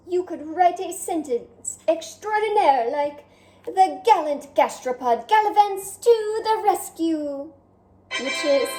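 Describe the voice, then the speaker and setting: Storybook figure: woman reading a self-admiring French snail, theatrical, high and mellow, roomy sound. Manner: theatrical, self-admiring, addresses listener directly. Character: vain, dramatic male French snail. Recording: roomy echo.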